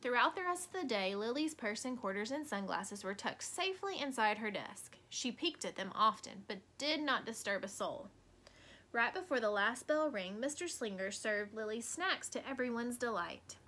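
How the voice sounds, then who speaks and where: A woman reading a children's picture book aloud like a teacher, with expressive, emphatic storytelling. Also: a little high, moderate pace.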